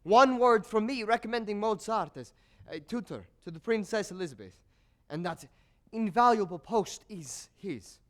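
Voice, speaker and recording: An actor in a stage play voicing a scheming, lecherous older court composer with formal, courtly, insinuating phrasing. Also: male voice, high voice, expressive, moderate pace, hardly any pauses. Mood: sly, insinuating. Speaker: stage actor in a school one-act play. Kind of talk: theatrical stage period drama acting.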